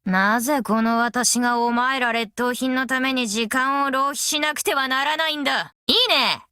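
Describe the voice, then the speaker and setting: A woman voicing a brash, easygoing, long-lived female master metalsmith in an anime game, with rough, cocky, tomboyish speech. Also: high voice, normal speed, pushed and bright, dry recording, studio quality. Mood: fierce, commanding, then cocky, scolding. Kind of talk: anime mobile game character voice lines.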